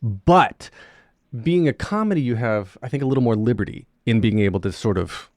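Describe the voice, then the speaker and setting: Man, host, rambling questions, lively intonation. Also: mid-range voice, moderate pace, no room sound, clean studio sound. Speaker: podcast host. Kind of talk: interview questions.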